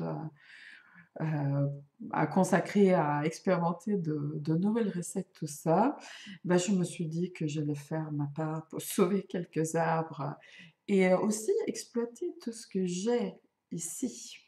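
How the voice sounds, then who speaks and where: A woman's voice: lowish voice, dark tone, echoey room. A middle-aged woman whose first language is not French, chatting casually to camera as a vlogger, self-mocking and full of hesitations. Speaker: knitting and crochet vlogger.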